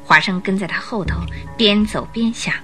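Female radio drama narrator: medium pitch, bright and crisp-edged, very lively storytelling over music. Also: moderate pace.

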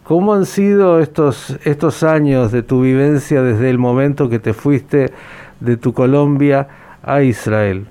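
A man hosting a podcast interview, phrasing his questions in a deliberate, formal way. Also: mid-range voice, warm tone, smooth.

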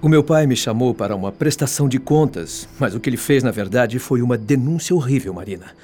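A man's voice: medium pitch, expressive, brisk, dark tone, close to an everyday voice. An actor with a Brazilian accent playing a dejected young man in a radio drama, speaking frankly and with earnest emotion. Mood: hurt, indignant. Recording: faint music bed behind. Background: music.

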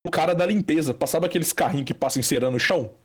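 A man's voice: on the high side, racing, breathy edge. A young man from Brazil telling a long, rambling anecdote in casual chat, full of fillers and restarts. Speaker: storyteller guest.

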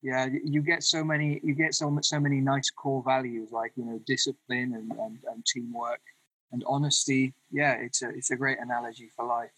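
A young man from the Czech Republic, asking questions in a casual interview with a thoughtful, tentative manner. His voice is husky and a little breathy. The sound is very dry and close, with no room around it. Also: mid-range voice, little pitch movement, moderate pace, a bit bright, no echo, low-quality recording. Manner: thoughtful, tentative, chuckles lightly.

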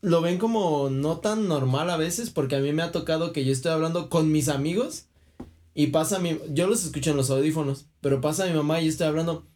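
A man's voice: fairly high pitch, a little fast, a little mellow. A young man with a Mexican Spanish accent in a casual, rambling chat about gaming. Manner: rambling, chatty, drops into quoted voices.

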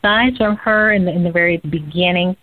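Young southern lady w a florida twang, low-ish voice, calling in over a tinny phone. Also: a little fast, dry recording. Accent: Southern US, Central Florida twang. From Central Florida. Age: young adult. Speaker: radio show guest. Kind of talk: casual interview chat. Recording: thin phone line, dry.

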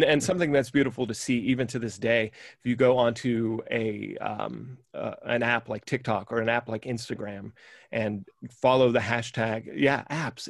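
Male podcast host, chatty and eager, dry close sound, mid pitch. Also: dry recording. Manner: enthusiastic, rambling with many fillers. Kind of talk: casual music chat.

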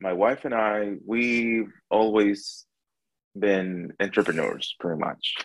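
A low-voiced man with a Salvadoran accent and a husky, creaky edge. He tells his story as an interview guest in a conversational, somewhat halting way, repeating words as he goes. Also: steady tone, no room sound, low-quality recording. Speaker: interview guest, restaurant owner.

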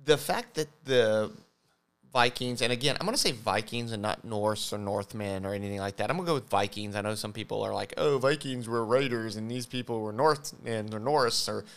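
A man co-hosting a podcast, explaining things casually in a halting way, often stammering and correcting himself. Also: fairly low pitch, fairly bright tone, clear, resonant tone. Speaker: podcast host.

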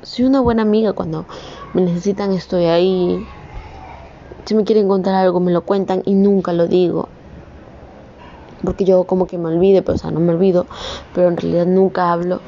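Young woman, earnest vlogger, warm low tone, phone-recorded with faint room ambience. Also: steady tone, brisk, pauses often, dull audio, a little hiss or hum. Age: young adult. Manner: earnest, rambling, rising to emphatic scolding. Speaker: communications student. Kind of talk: personal vlog monologue.

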